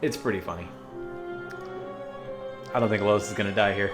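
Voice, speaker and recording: A man hosting a reaction video, chatting casually and musingly in halting asides as he comments on a cartoon. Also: fairly low pitch, loud music behind the voice.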